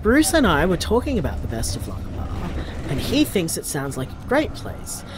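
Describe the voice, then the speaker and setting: A man's voice: on the high side, wildly swooping pitch, moderate pace, noisy background. An actor playing an affectionate, upbeat young man leaving casual, earnest voicemails in an intimate audio drama. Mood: upbeat, sheepish.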